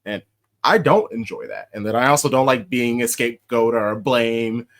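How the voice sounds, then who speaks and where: A young American man hosting a casual podcast, an animated storyteller who laughs often and shouts out quoted chants. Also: mid-range voice, moderate pace. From United States. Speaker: podcast host.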